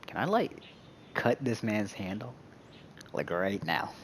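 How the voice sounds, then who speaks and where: A man's voice: lowish voice, very animated, a little slow, a little hiss or hum. A man giving casual reaction commentary while playing a game, with dry, sarcastic quips. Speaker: gamer reacting to a story quest.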